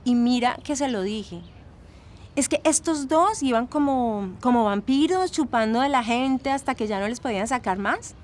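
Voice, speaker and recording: A woman's voice: on the high side, expressive, normal speed, natural voice. An actress playing a frank, protective young Colombian woman giving casual testimony in an audio drama, dropping in English phrases. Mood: doubtful, disapproving. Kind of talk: documentary-style testimony, scripted audio drama. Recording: voice over faint ambient noise.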